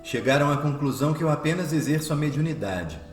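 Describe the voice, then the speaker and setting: A man's voice: on the high side, animated, fast, over music, natural voice. A Brazilian man playing an earnest, devout healer, speaking in a solemn, declamatory radio drama manner. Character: earnest, devout, selfless grown son and healer. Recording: roomy echo.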